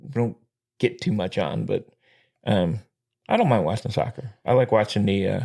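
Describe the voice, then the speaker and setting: A man's voice: lowish voice, moderate pace, gravelly, darkish tone, breathy edge. A man co-hosting a podcast in casual chat, rambling and trailing off, laughing often. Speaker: podcast co-host.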